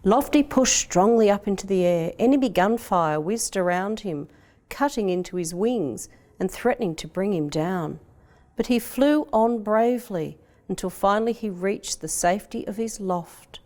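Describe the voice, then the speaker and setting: A woman with an Australian accent reads a children's picture book aloud in a measured, expressive storytelling way. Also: medium pitch. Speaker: education officer reading a picture book.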